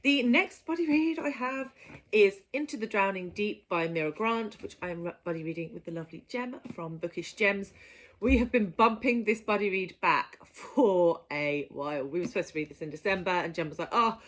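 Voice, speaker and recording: A woman's voice: medium pitch, normal speed. A woman talking casually and playfully to viewers as a book vlogger, with an enthusiastic manner. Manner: playful, enthusiastic, given to giggling. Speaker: book vlogger presenting a reading list. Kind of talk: casual chatty monologue to viewers.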